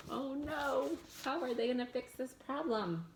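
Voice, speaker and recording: A woman reading a picture book aloud to children in an animated, expressive way, adding asides and questions in mock surprise. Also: sits high, takes it slowly, background noise. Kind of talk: animated read-aloud storytelling with asides to young listeners.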